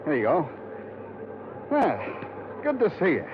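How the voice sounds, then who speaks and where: A man's voice: a little high, wildly swooping pitch, unhurried. A man acting a hearty, effusive investigator greeting like an old pal in a 1950s radio drama. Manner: effusive, backslapping. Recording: muffled old radio recording, background noise.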